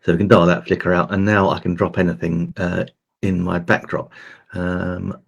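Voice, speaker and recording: A man hosting a livestream, chatting loosely through a software and gear demo with lots of fillers, his voice a little croaky. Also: deep voice, little pitch movement, moderate pace, highs cut off. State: slightly croaky voice. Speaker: host demonstrating streaming gear. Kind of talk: casual tech demo talk. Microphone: desk microphone.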